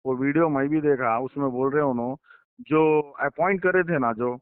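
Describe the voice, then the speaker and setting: A man's voice: medium pitch, normal speed, clean tone, no echo. A man with a Hyderabadi accent talking informally, pressing his points with repetition and pointed questions. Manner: insistent, repeats himself and presses points with questions. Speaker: political insider giving his account to a journalist. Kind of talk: informal phone conversation. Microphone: phone line. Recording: tinny recorded phone call.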